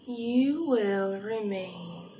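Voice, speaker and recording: Very slow, seductive vampire villainess played by a woman. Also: medium pitch, animated, warm tone, slight background noise. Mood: hypnotic, soothing. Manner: slow, breathy, deliberate, often dropping into whispers. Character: seductive hungry vampire villainess, hypnotic and poised. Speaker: roleplay performer. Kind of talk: ASMR hypnosis roleplay, in character.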